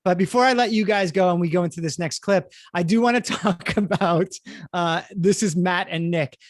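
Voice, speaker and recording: High-voiced man hosting a panel. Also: normal speed, no echo, clean studio sound. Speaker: host of a theatre panel. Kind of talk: live panel moderating.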